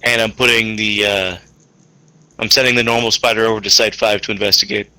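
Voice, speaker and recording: A man's voice: on the low side, fairly even intonation, brisk, bright, forceful tone, clear, resonant tone, rough recording. A man talking casually as a player in a tabletop roleplaying game, discussing options with the group.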